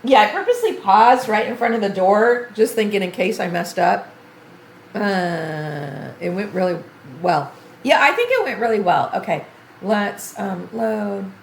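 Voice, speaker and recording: An older woman streaming a video game tutorial, chatty and thinking aloud as she plays, her voice going a bit hoarse. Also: medium pitch, expressive, a little slow, reverberant, slight background noise. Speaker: gaming streamer. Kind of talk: game tutorial livestream commentary.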